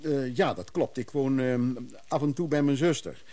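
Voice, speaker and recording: An actor playing a wry, scheming antiques hustler in a hissy radio play recording, courteous but hesitant with others. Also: male voice, medium pitch, normal speed, dark tone, dry recording, natural voice. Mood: polite, hesitant. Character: scheming antiques hustler in his mechanical-music trade, wry, smooth. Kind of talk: Dutch radio play acting.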